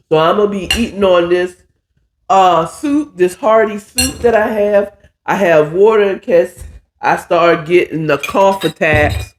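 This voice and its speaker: A hoarse, stuffy-nosed man hosting a casual chat while cooking, drawling and calling his listeners "child". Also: high-pitched. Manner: chatty, drawling, says "child" a lot. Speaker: podcast host.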